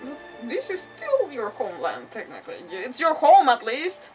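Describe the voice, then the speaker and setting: Female game streamer, highish voice, casual exclamatory commentary, slightly distant mic, soft music underneath. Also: huge pitch swings, normal speed. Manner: exclamatory, mild swearing, thinking aloud. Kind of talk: casual live gaming commentary.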